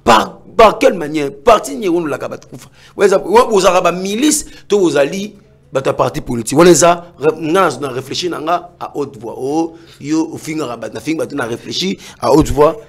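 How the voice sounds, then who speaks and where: A man hosting a political talk show, talking in a rambling, halting way. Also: a little high, husky.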